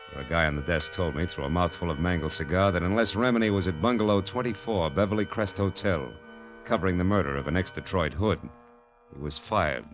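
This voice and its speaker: Male actor as wry American private eye, deep voice, clipped delivery, old radio detective drama with light music behind. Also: close to an everyday voice. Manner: wisecracking, dry, clipped. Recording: vintage radio drama recording.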